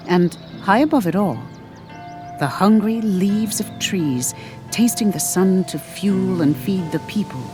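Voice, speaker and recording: A woman narrates a story in a fairly low voice. Her delivery is very slow and deliberate, with the careful phrasing of a scripted literary reading. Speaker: audiobook narrator.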